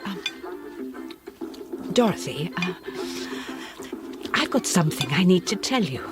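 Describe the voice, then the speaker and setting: An actress playing a gentle, weary widowed farm woman in a radio play, speaking hesitantly and apologetically. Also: on the low side, big pitch swings, slow pace, loud music behind the voice. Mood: hesitant, apologetic.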